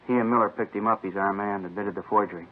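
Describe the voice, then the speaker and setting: An actor playing a steady male police inspector in an old radio crime drama, understated and matter-of-fact. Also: on the low side, fast talker, natural voice. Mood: casual, businesslike. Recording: muffled, phone-like vintage radio recording.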